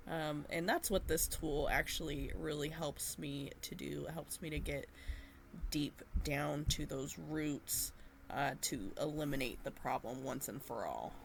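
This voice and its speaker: A woman narrating her gardening video in a gentle, unhurried way with frequent fillers, her voice slightly nasal from a cold. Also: low voice, gravelly, a little hiss or hum. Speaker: gardening vlogger.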